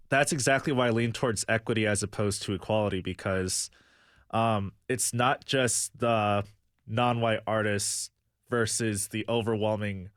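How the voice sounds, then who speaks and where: A man's voice: on the low side, clear, resonant tone, no echo, clean studio sound. A young man from the United States, a guest on a radio talk show, answering earnestly and thinking aloud with many fillers. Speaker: guest, music booker.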